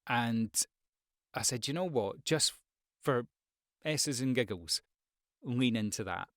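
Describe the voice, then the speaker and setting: A man's voice: mid-range voice, takes it slowly, dry recording. Conversational male voice coach, very dry sound. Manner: rambling, expressive with acted examples. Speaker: voice-over artist and coach giving tips. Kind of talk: conversational tutorial talk to viewers.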